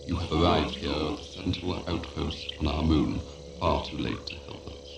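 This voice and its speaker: A solemn herald of a doomed world in a science fiction audio play. The voice is extremely deep and gravelly, stately and grave, echoing under loud music. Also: male voice, normal speed, background noise. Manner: portentous, stately. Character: solemn doom-laden recorded herald of a dead Earth. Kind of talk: audio drama acting, formal proclamation.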